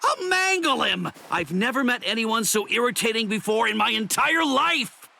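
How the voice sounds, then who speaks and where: An actor playing a brash, hot-tempered young swordsman in an anime game dub, talking bluntly and gruffly. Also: very high voice, big pitch swings, normal speed, sharp tone, heavily acted. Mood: irritated, indignant.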